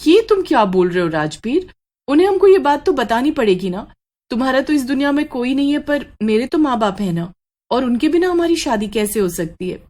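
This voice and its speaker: A woman voicing an excitable, emphatic young woman. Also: sits high, fairly quick, voice pushed up. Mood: worried. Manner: emphatic, questioning, exclamatory. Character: excitable young woman, indignant bride, easily upset. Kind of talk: acted horror storybook dialogue in Hindi.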